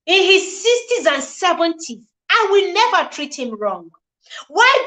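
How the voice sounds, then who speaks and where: A woman's voice: very high-pitched, bright, forceful tone, low-quality recording. A woman in her forties hosting a live relationship talk, speaking earnestly in a repetitive, preachy cadence and greeting viewers by name. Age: 40s. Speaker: relationship show host.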